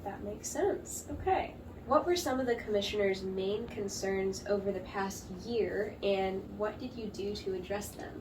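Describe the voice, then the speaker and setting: A woman's voice: mid-range voice, unhurried. Woman news host, distant noisy recording. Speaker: news show host and interviewer. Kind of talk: scripted show introduction and interview questions. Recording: distant mic, noticeable background noise.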